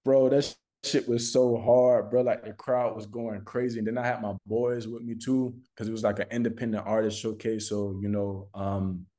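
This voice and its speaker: A man's voice: fairly low pitch, little pitch movement, moderate pace, hardly any pauses, dark tone. An American man from Florida chatting casually as a music artist in an interview, with slangy, repetitive phrasing. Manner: informal, slangy, repeats phrases for emphasis. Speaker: recording artist being interviewed.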